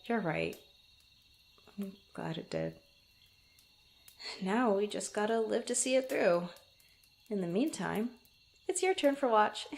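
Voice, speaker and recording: A woman playing a proud but tender young female adventurer in an intimate ASMR roleplay, speaking softly and haltingly. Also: mid-range voice. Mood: shy, affectionate, then teasing, tired. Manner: halting, stammering, soft-spoken with breathy asides.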